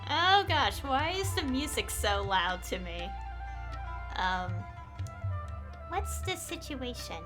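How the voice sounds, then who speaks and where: A woman game streamer reacts casually as she plays. Her voice is high and swoops around, the words come very slowly, and game music is clearly audible. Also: sing-song.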